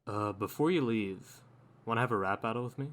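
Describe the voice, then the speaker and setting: A performer voicing a terse, low-key young newcomer in a comic fan dub. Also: fairly quick, fairly bright tone, close to an everyday voice. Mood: cool.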